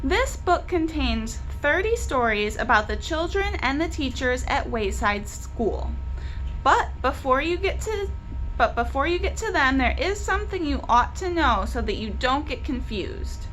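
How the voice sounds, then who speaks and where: Woman, children's read-aloud host, bright forceful voice, slow deliberate delivery, animated emphasis. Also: high-pitched, a little hiss or hum, low-quality recording. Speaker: library book club host and reader.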